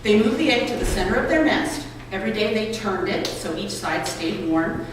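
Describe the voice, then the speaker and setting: A woman reading aloud to a gathering with clear, expressive storybook phrasing. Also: mid-range voice, moderate pace. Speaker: reader at a public read-aloud event. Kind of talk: reading a children's book and an essay aloud. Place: college building lobby. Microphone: distant microphone. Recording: echoey hall, distant mic, noisy ambience.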